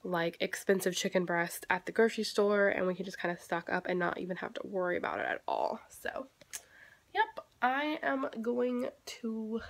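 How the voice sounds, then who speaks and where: A woman's voice: mid-range voice, moderate pace, gravelly. A young woman chatting casually into her phone camera in a daily vlog, rambling with many fillers and self-corrections. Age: young adult. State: congested, stuffy. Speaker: vlogger. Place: parked car, indoors at home earlier. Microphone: phone camera at arm's length.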